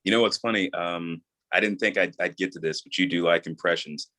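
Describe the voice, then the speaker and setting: Very deep-voiced, soothing American man. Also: fairly even intonation, fairly quick, sharp tone. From New York, USA. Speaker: guest, audio drama creator and voice actor. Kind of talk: casual interview chat.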